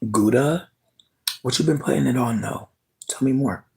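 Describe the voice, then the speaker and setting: A person livestreaming casual chat with viewers, sassy and expressive with lots of swearing, talking while snacking. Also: medium pitch, normal speed, vocal fry.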